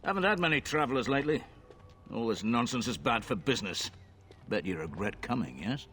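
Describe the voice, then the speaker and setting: An actor playing a gruff, cynical, self-serving tavern keeper in a fantasy video game, talking in a brusque, self-justifying way. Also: male voice, medium pitch, lively intonation, fairly quick, fairly bright tone, lightly acted. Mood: sly friendliness.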